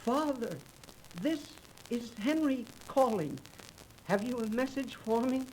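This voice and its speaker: A man's voice: very high-pitched, expressive, slow, darkish tone, exaggerated voice. An actor playing an earnest, credulous businessman speaking hesitantly and reverently in a 1930s radio drama. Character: earnest, credulous businessman son addressing dead father. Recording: noisy 1930s radio transcription recording.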